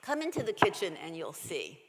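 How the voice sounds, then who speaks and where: A woman voicing an earnest, excitable young girl from a storybook, recorded in a reverberant church hall. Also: lowish voice, moderate pace. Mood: reassuring.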